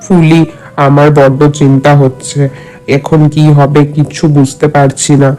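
A man's voice: a little high, level tone, moderate pace, warm tone, close to an everyday voice, rough recording. A man voicing a humble labourer husband in a cartoon village tale, subdued and earnest. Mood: worried. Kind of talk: cartoon fairy-tale dialogue. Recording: music under the voice.

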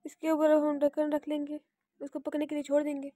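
A woman's voice: high voice, monotone, a little fast, mellow, no echo, studio quality. A woman hosting a cooking channel, narrating a recipe step by step in a plain, repetitive instructional voice-over.

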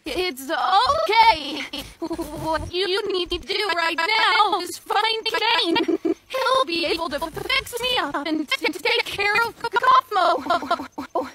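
Cartoon rag doll: kind, apologetic, stuttering, unhurried delivery under loud noise. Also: female voice, very high voice, animated, exaggerated voice. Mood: reassuring, strained. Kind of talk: animated series voice acting.

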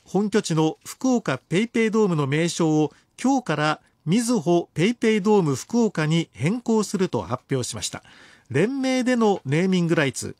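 A man reading scripted news in a formal, measured way. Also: high voice, dry recording, clean studio sound. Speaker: newsreader.

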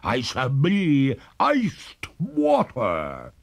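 A man voicing a genteel, desperate female water ghost who pleads in drawn-out, formal, old-fashioned speech. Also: medium pitch, wildly swooping pitch, gravelly, voice pushed up, exaggerated voice. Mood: threatening.

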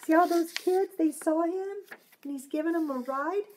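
A woman's voice: very high voice, normal speed, darkish tone, slight background noise, low-quality recording. A middle-aged woman reading a storybook aloud to young children, with chatty, encouraging asides and simple questions. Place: small home room, indoors.

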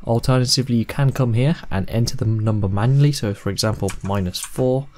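A young man in his twenties walks through a screen tutorial at a medium pitch. He explains each step casually and plainly, as an online host would. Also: a little fast, a little mellow, slight background noise. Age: 20s. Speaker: host of video editing tutorials.

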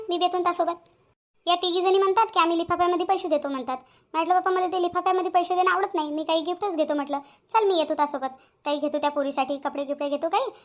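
A woman with a Varhadi accent from Vidarbha, acting out chatty village women's dialogue for a cartoon story in colloquial, exclamatory speech. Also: very high-pitched.

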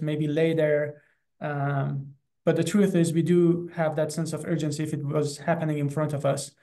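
Higher-pitched Iranian-accented man, even and mellow. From Iran. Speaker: animal rights activist and guest. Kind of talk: personal account in an online interview. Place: small classroom in front of a chalkboard. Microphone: video call, close to computer.

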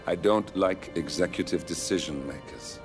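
An actor playing a wry, rugged rebel comrade in a video game, with a dry conversational manner. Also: male voice, low voice, moderate pace, over music, close to an everyday voice. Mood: earnest. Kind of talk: video game cutscene acting.

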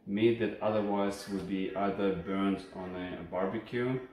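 A man's voice: deep voice, fairly even intonation, unhurried, a little mellow. Ukrainian-accented man in echoey kitchen. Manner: friendly, explanatory, many fillers and hesitations. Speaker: channel host reviewing a kitchen appliance. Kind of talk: casual unscripted product review. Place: home kitchen, indoors. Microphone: phone camera at a distance. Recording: echoey kitchen with faint hum.

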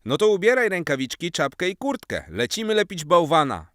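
Man playing a caring mum, raised pitch. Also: very animated, a little fast, fairly bright tone, dry recording, studio quality. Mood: cheerful, encouraging. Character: kind, caring mother of a young boy.

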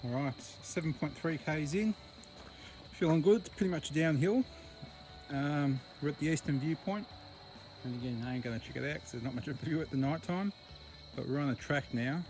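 A man's voice: a little high, moderate pace, music in the background, poor audio. Puffing Australian man on a night hike. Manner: breathless asides, puffing between phrases. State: out of breath. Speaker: hiker vlogger. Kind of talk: casual vlog commentary while hiking. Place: outdoor bush trail at night. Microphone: camera held close at arm's length.

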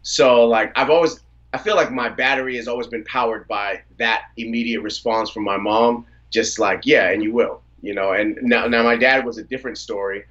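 A man in his thirties from the Washington DC area, a rapper telling relaxed stories about his family and career as a guest on a video-call interview. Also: mid-range voice, moderate pace, highs cut off. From United States, Washington DC area. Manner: relaxed storyteller, laughs easily. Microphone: video call from a phone or laptop.

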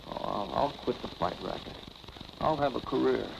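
An actor playing a lovesick, slow-witted young prizefighter with slangy tough-guy talk in a 1940s film. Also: medium pitch, animated, creaky. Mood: agitated, wounded. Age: young adult. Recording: noisy vintage film soundtrack.

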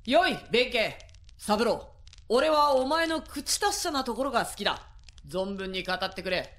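A woman's voice: a little high, expressive, a little slow, a bit bright. A woman playing a composed, gracious young samurai lord in a radio drama. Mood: calm, indulgent.

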